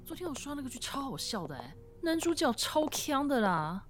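A woman's voice: high-pitched, sing-song, moderate pace. A woman acting a nosy, bubbly young office gossip in a radio drama, teasing and full of exclamations. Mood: bubbly, excited.

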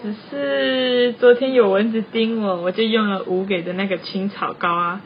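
A woman's voice: medium pitch, steady tone, normal speed, lightly acted. A young woman with a Taiwanese Mandarin accent playing a sensible, easygoing dorm roommate in an amateur campus radio drama. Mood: bemused. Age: young adult. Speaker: student radio drama actor. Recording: dull sound with faint ambient noise.

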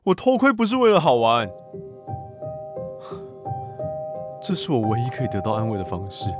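Troubled lonely son, introspective monologue, music playing behind. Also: male voice, mid-range voice, huge pitch swings, very fast. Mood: defensive, remorseful. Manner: introspective, earnest. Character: troubled, lonely young peeping son, confessional. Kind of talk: radio drama monologue.

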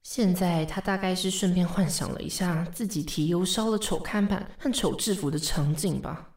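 An actress playing a sarcastic, long-suffering assistant in a radio drama, with a Taiwanese Mandarin accent and a teasing, weary manner. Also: female voice, on the low side, normal speed, a little mellow, no echo, studio quality. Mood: wry, weary. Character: sardonic, long-suffering female assistant to a fashion director. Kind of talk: radio drama acting with inner monologue.

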